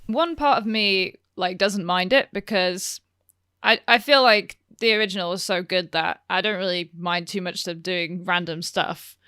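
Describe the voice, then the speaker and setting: Woman, podcast panelist, crisp smooth voice, bright tone, informal chat. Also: on the low side, fairly even intonation, unhurried, no echo, studio quality. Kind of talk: casual podcast discussion.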